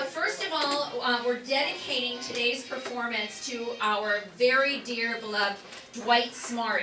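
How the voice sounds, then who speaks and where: Female host, higher-pitched, informal stage introduction, picked up from a distance in a live room. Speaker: instructor hosting a live show. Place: room before a live audience. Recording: echoey room, distant pickup, audience noise.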